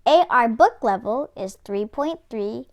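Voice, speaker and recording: High, animated woman storyteller, unhurried. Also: highs cut off. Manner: expressive, deliberate storytelling. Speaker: read-aloud host, storyteller. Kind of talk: scripted children's story narration.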